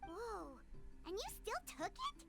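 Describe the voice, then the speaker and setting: A woman voicing a small, excitable, protective fairy companion in a video game, squeaky and emphatic in delivery. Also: slow pace, creaky, over music, full character voice. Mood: surprised, indignant. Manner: emphatic, exclamatory.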